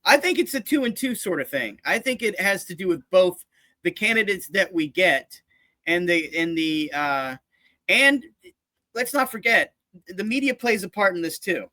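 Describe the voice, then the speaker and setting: A man's voice: sits high, expressive, moderate pace, pushed and bright, no echo. A man chatting casually about politics, rambling and restarting his sentences, dropping into mock voices for jokes.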